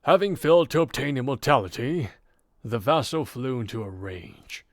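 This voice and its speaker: A man voicing a game character who recounts an old legend in a measured, formal, theatrical way. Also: medium pitch, lively intonation, dry recording, studio quality, close to an everyday voice. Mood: grave, dramatic. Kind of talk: dramatic scripted visual novel storytelling. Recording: game audio with background music.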